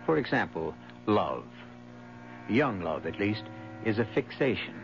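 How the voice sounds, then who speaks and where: A man hosting a radio mystery drama with a scripted introduction, measured, formal and wry. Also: on the low side, big pitch swings, highs cut off, background noise. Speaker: host. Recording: band-limited 1970s radio recording.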